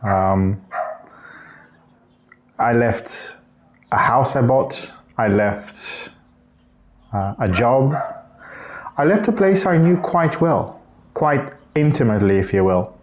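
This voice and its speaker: A man in his thirties from Bulgaria talks into his camera at home in an unhurried vlog. His voice sits at an ordinary mid pitch, and he circles his points with restarts and corrections. A faint hiss runs underneath. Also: lively intonation. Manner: reflective, rambling with restarts and self-corrections. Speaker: vlogger. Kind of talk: casual talking-head vlog.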